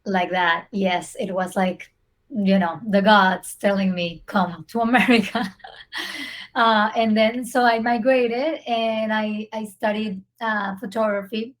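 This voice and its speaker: A woman's voice: medium pitch, steady tone, takes it slowly. Woman in her 30s from Venezuela, podcast guest, earnest storyteller. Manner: warm, earnest, with small slips in phrasing. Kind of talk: casual interview storytelling.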